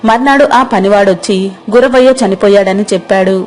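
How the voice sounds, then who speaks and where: A woman narrates a moral tale from a script. Her voice sits at a middle pitch, in storytelling style. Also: a little fast, darkish tone, soft background music.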